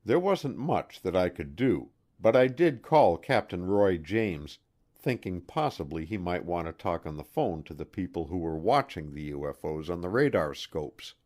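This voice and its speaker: Low-pitched man reading nonfiction. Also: very animated, moderate pace, a little mellow. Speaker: audiobook reader. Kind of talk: scripted nonfiction narration.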